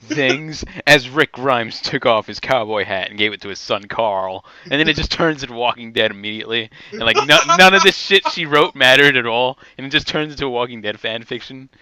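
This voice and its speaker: A man's voice: medium pitch, animated, fairly quick, hardly any pauses, sharp tone, clear, resonant tone, dry recording, rough recording. A man co-hosting with casual, incredulous banter, riffing informally while he plays a game. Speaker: co-host reacting to the reading.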